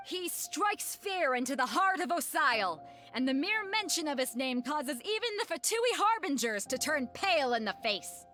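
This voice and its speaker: An actress playing a spirited, confident and showy tournament announcer in an anime-style video game. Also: female voice, sits high, big pitch swings, moderate pace, bright, forceful tone, soft background music, heavily acted. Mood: rousing.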